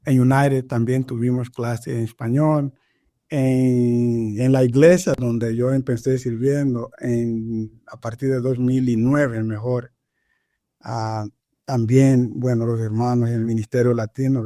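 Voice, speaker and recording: A man from Angola whose first language is Portuguese, a pastor telling his life story in a podcast interview, halting and searching for words with many fillers. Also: mid-range voice, dark tone, no room sound, studio quality. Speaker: pastor, guest.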